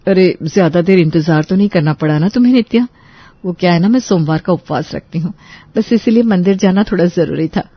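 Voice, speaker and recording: A woman voicing a caring, sensible elder sister in a family audio drama, reassuring and gently scolding, slightly dull sound. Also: lowish voice, a little fast, husky, no echo.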